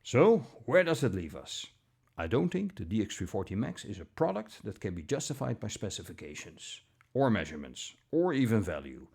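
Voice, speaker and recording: A man reading a scripted product review in a measured, deliberate manner, with short pauses between phrases. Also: fairly low pitch, big pitch swings, creaky, darkish tone, slightly rough, soft background music. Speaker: audio gear reviewer.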